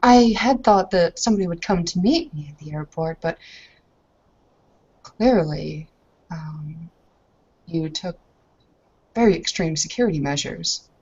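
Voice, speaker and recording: A woman voicing a severe, imperious, angry lady in a roleplaying game, speaking in crisp, icily polite tones. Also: on the low side, lively intonation, measured pace, long pauses, a little mellow, lo-fi sound, full character voice. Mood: icy, annoyed.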